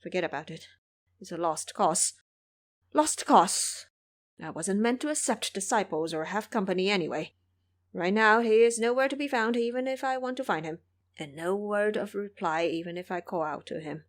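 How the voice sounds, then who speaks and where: A woman's voice: medium pitch, lively intonation, normal speed, lightly acted. A woman playing a pious male Buddhist monk, an earnest master who admonishes and preaches in an audio drama. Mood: dejected.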